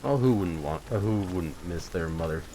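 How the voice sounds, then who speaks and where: Wry low-voiced male gamer, lively intonation. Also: moderate pace. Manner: wry, offhand joking. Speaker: gamer, let's play host. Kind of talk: casual let's play commentary. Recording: faint background noise, game ambience.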